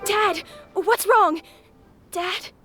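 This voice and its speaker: A woman's voice: very high-pitched, extremely slow, music in the background, exaggerated voice. A woman playing a worried little child in a video game, anxious and pleading. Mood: frightened. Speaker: voice actor. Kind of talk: video game dialogue acting.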